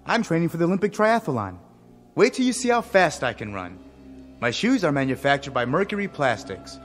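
A man's voice: a little high, very animated, brisk, faint music behind. An actor playing an athletic young male display android in a radio drama, reciting chipper sales patter. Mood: eager, chipper. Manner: chipper, rehearsed advertising patter.

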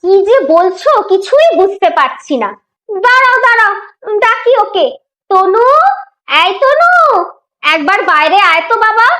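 A woman's voice: very high-pitched, animated, unhurried, pushed and bright, full character voice. A woman voicing a fussy but caring village mother in a moral cartoon, homely and exclaiming. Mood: puzzled. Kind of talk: Bengali moral cartoon dialogue.

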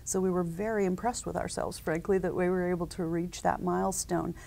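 A woman in her forties speaks as a seated conference interview guest. Her voice sits low with a gravelly edge and a dark, mellow color. She talks in a measured, businesslike way, filling gaps with ums and uhs. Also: flat delivery. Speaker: company founder, interview guest. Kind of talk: conversational interview answers.

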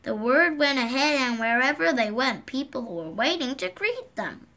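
A woman reading a children's picture book aloud at a gentle, unhurried pace, filmed indoors over the pages on a table, lifting her voice for the characters' lines. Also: sits high, big pitch swings. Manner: slow, gentle read-aloud pacing with lifted voices for quoted lines. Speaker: storybook reader. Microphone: phone or camera filming the book from above.

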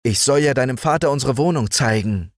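A man reading a story aloud and voicing an earnest, eager young boy in a high boyish voice. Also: lively intonation, fairly quick, dry recording, clean studio sound, lightly acted.

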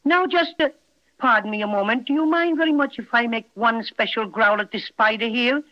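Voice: medium pitch, a little fast, close to an everyday voice. An actor playing a tiny, cheerful, fussy talking toy bear in a chatty, self-important 1930s radio style. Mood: fussy, playful. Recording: muffled, narrow-band 1930s radio recording.

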